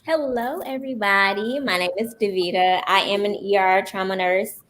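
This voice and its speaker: A woman trauma nurse from Memphis, explaining clearly on a panel. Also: medium pitch, fairly even intonation, normal speed, a bit bright. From Memphis, Tennessee. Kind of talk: panel discussion.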